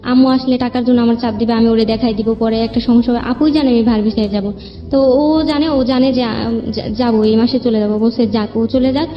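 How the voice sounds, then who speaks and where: A woman's voice: a little high, fairly even intonation, brisk. A young woman with a Bangladeshi accent giving a plaintive, rambling account of her own life as a guest. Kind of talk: personal spoken account. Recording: long reverb, dull muffled sound, music underneath. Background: background music.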